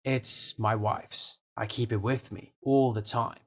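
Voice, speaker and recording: An actor playing an evasive, polite soldier in an audio play, deferential and affectedly well-spoken. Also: male voice, fairly low pitch, fairly even intonation, slow pace. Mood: guarded, polite. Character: evasive, polite male soldier suspected of murder.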